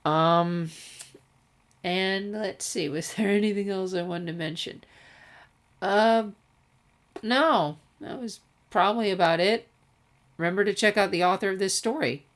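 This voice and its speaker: A woman's voice: mid-range voice, a little slow, long pauses, a bit bright. A woman who reads fan fiction aloud on her channel, recorded at home in an ordinary room. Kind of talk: scripted story narration and casual sign-off. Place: room at home without soundproofing.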